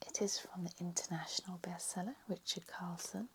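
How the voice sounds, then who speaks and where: A woman whispering a slow, soft ASMR lecture roleplay, her voice slightly croaky. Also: on the low side, fairly even intonation, a little hiss or hum.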